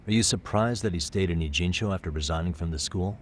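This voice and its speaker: An actor playing a composed, persistent private detective, calmly and politely probing in a video game cutscene. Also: male voice, sits low, slight background noise, close to an everyday voice. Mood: probing, measured. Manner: calm, measured, politely probing questioning. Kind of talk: English-dubbed video game cutscene acting.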